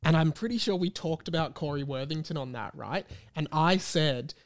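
Higher-pitched Australian man chatting casually. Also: expressive, moderate pace. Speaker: podcast host. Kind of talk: casual chat with a friend.

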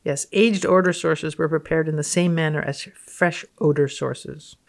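A woman's voice: deep voice, steady tone, moderate pace, vocal fry. A woman guest on a podcast, reading research aloud and commenting in a wry, matter-of-fact way. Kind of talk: reading research aloud with casual, unscripted reactions.

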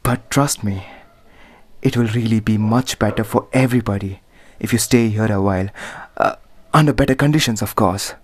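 A man's voice: lowish voice, normal speed, natural voice. A man acting an evasive, placating husband in an audio play, speaking in a soothing, hesitant way.

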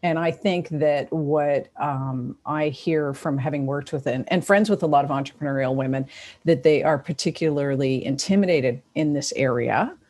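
Husky low-voiced Toronto woman host. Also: normal speed. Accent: Toronto Canadian. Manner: chatty, enthusiastic, warm toward guest. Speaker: podcast host. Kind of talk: casual interview chat.

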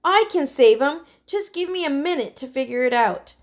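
A woman's voice: high voice, expressive, moderate pace, pitched above the performer's own voice. A woman voicing an earnest, stubborn boy in a children's story, confident and insistent. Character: earnest, stubborn boy inventor, sure of his plans.

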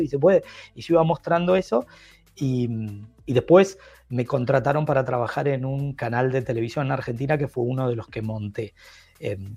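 A man's voice: mid-range voice, vocal fry, darkish tone, breathy edge. A middle-aged man from Brazil, a Portuguese speaker, talking enthusiastically as an interview guest and often restarting his sentences. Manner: enthusiastic, rambling with frequent restarts. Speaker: podcast guest. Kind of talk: casual interview conversation.

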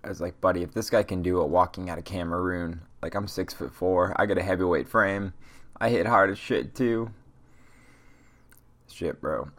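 A young man with a very low, gravelly voice talks through a stuffy nose from a cold. He rambles along in a casual solo podcast rant, swearing constantly as he gives motivational talk. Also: moderate pace, fairly bright tone. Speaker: podcast host.

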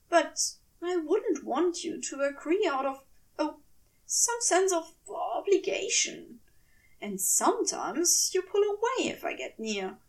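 A woman voicing a gentle, fussy male angel in a story, with prim, old-fashioned, hesitant and earnest speech. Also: extremely high pitch, far from the mic, voice pushed up, heavily acted, rough recording.

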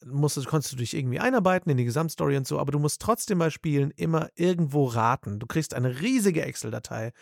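A man's voice: fairly high pitch, expressive, fast, gravelly, few pauses, no room sound, clean studio sound. A male voice actor and dubbing director in a casual interview, speaking animatedly and thinking aloud with plenty of fillers.

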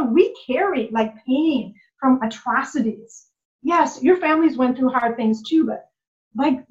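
A woman's voice: high voice, muffled recording. A woman who works as a life coach and healer, talking in a passionate, repetitive way in a casual conversation. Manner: impassioned, repetitive, many "like"s.

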